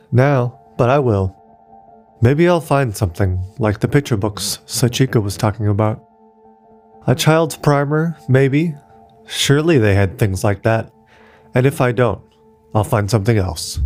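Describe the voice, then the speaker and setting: A man's voice: mid-range voice, expressive, a little slow, a little mellow, soft background music, natural voice. A man reading the part of a stubborn, patient woman archaeologist in an audiobook, earnest and insistent. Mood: determined.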